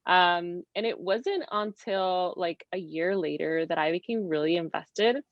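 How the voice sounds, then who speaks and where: A woman's voice: on the low side, measured pace. A woman from Mexico and California chatting casually as an interview guest, telling stories in an informal, talkative way. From Mexico, later California. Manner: chatty, informal storytelling with quoted asides. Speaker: interview guest, real estate investor. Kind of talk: casual video interview chat. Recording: roomy, dull lo-fi call audio.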